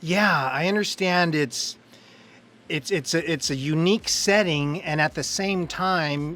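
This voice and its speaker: A man's voice: a little high, fairly bright tone. A man doing a casual promotional interview, enthusiastic and effusive as he talks with a fellow actor.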